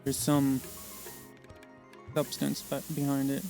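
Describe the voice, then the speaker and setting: An American man from the Missouri, Oklahoma and Arkansas region talking casually and unscripted about himself, rambling haltingly in short fragments. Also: mid-range voice, completely flat delivery, gravelly, mellow, soft background music. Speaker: presenter introducing himself.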